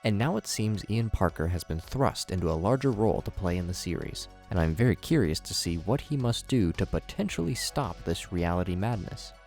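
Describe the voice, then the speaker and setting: A man with a fairly low voice presents scripted commentary as a video host. His delivery is conversational and very lively in pitch, moving expressively through each sentence. Music plays faintly behind him. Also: fairly quick, few pauses. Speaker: YouTube host, horror series reviewer.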